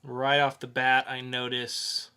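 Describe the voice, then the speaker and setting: A man co-hosting a podcast, talking casually through entertainment news in a halting, conversational way with pauses. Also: medium pitch, completely flat delivery, bright, forceful tone, clean tone. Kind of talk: casual geek news chat.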